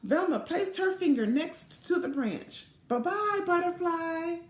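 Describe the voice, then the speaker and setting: A middle-aged woman reading a children's storybook aloud in a deliberate, expressive way, recorded in a small room. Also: high-pitched. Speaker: volunteer storybook reader. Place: small indoor room or home office. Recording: roomy, echoing home webcam recording.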